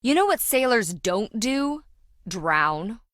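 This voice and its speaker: A woman's voice: fairly high pitch, very animated, takes it slowly, fairly bright tone, dry recording, full character voice. A brash treasure-hunting businesswoman for a video game. She is flippant and self-important, griping in slangy, sarcastic lines. The recording is dry and close, with no room around her. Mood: resentful, emphatic. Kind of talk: video game character dialogue.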